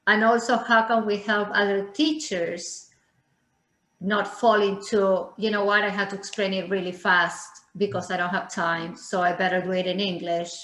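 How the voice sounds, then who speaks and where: A woman's voice: mid-range voice, level tone, normal speed, fairly bright tone. Woman, earnest teacher, echoey room, video call. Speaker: teacher asking a question.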